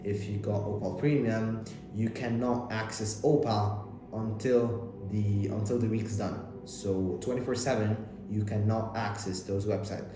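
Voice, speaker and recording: A young man from Lebanon whose first language is Arabic, giving casual self-improvement advice to the camera, talking fast with many restarts and fillers. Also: lowish voice, steady tone. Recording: very echoey room with clear background noise.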